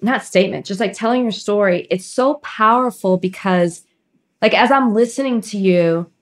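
A woman co-hosting a podcast, chatting casually and reacting excitedly to her guest. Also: medium pitch, normal speed. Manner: excitable, jumps in with gasps and reactions. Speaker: podcast co-host. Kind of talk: casual chat.

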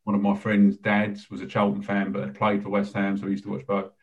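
A man's voice: fairly low pitch, monotone, fairly quick, dull audio. A middle-aged man from South East London, a guest answering interview questions with modest, self-deprecating humour. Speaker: guest, charity chief executive.

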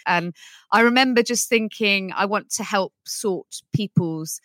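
A woman with a bright, forceful voice recorded close and dry, with no room sound. She tells her story as a guest in a chatty, humorous way. She grew up in the Netherlands speaking both English and Dutch. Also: mid-range voice, measured pace, dry recording. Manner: chatty and humorous, laughs often. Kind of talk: casual interview conversation.

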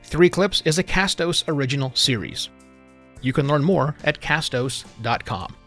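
A man's voice: fairly high pitch, animated, normal speed, a bit bright, soft background music. A man hosting a podcast, delivering scripted announcements straight to the listener. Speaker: podcast host. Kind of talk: scripted podcast outro narration.

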